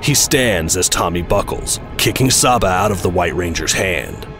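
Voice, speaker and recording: A man narrating a scripted action audio drama, with loud music under his voice. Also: deep voice, animated, moderate pace.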